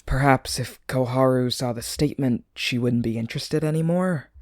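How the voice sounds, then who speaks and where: An actor playing an anxious, caring young noble in an audio drama, speaking earnestly. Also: male voice, medium pitch, moderate pace, dry recording, clean studio sound, close to an everyday voice. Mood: worried.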